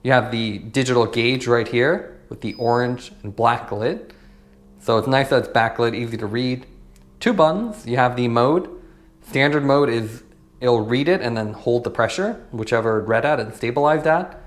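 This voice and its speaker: A man's voice: medium pitch, normal speed. A man giving a casual, plain-spoken product review, explaining and demonstrating features in a matter-of-fact way.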